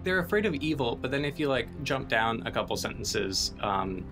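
A man chatting casually on a podcast, haltingly searching for his words. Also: medium pitch, big pitch swings, over music. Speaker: podcast participant. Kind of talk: casual chat.

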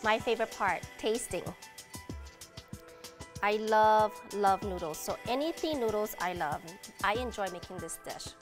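A woman's voice: mid-range voice, a little slow. A woman in her thirties hosting a cooking demonstration in a kitchen, talking in an upbeat, chatty, encouraging way. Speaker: cooking show host and restaurant owner. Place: home kitchen, indoors. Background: background music and sizzling pan.

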